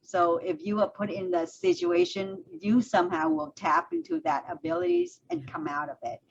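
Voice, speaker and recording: A woman's voice: high voice, very animated, moderate pace, creaky. A woman from Vietnam, hosting a conversational interview over a video call, speaking thoughtfully with frequent fillers. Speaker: host. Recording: dull lo-fi audio with faint noise.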